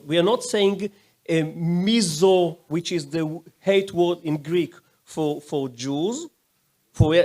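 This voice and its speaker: A man in the audience making a halting comment from the floor during a lecture, with many pauses and fillers. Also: a little high, darkish tone. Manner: halting, full of fillers and restarts. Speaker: audience member. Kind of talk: question from the floor.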